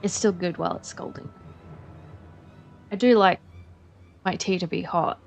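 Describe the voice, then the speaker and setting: A woman's voice: lowish voice, steady tone, unhurried, creaky. A young Australian woman chatting casually while streaming a game, rambling with lots of fillers.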